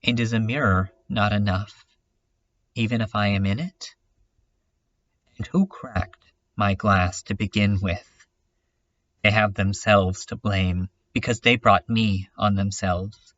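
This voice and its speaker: Man acting as a brooding dreamer, slow halting delivery, poetic introspective monologue, webcomic fan dub, dull lo-fi recording. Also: fairly low pitch, a bit bright. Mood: wistful, wounded. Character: brooding, self-critical dreamer musing on art and self.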